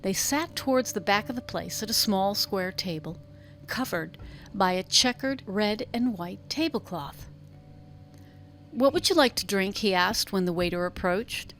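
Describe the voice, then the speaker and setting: A woman's voice: medium pitch, unhurried, fairly bright tone, faint music behind. A woman reading a literary story aloud as an audiobook narrator, in scripted narration.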